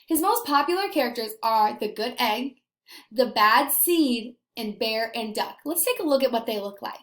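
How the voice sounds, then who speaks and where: A woman teacher giving an expressive read-aloud lesson, asking young children questions and pausing for answers. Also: a little high. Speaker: elementary school teacher. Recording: echoey room.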